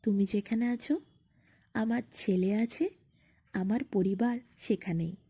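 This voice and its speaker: A woman voicing a little fairy, a devoted young mother who speaks tenderly and firmly in unhurried phrases. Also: mid-range voice, steady tone, dark tone, slightly rough. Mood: tender, resolute.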